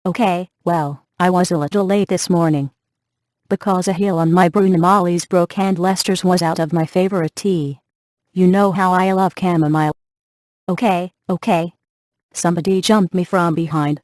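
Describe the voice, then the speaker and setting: Melodramatic, chatty woman, slightly low-voiced played by an actress. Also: fairly even intonation, moderate pace, a little mellow, dry recording, studio quality, close to an everyday voice. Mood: shaken, melodramatic. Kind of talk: video game voice acting.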